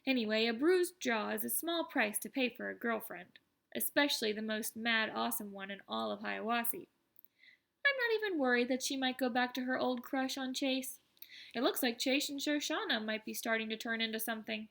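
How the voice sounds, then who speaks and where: A woman reading aloud as an excitable, boastful, self-deprecating teenage schoolboy who tells his story in an animated, wry, conversational way. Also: fairly high pitch, brisk, vocal fry. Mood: proud, chatty. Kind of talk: first-person audiobook narration.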